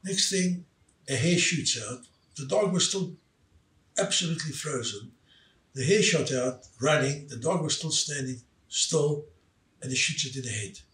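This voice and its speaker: A man in his eighties who grew up in South Africa, telling stories from his life as an interview guest in a halting way, with many fillers and restarts. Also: a little high, expressive, fairly bright tone. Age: 80s. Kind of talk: storytelling in casual chat. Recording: roomy, distant mic, faint background noise.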